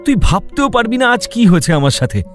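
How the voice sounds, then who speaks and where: An actor playing a desperate, heartbroken young man in an audio drama, pleading and halting. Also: high-pitched, big pitch swings, faint music behind. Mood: pleading, dejected. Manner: pleading, halting, repeats words. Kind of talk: Bengali audio drama acting.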